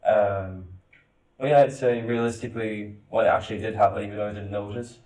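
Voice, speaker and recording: A young man who grew up in Spain, chatting casually on a family podcast in a relaxed, rambling way. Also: on the low side, brisk. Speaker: hosts' son, guest. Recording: echoey room, distant mic.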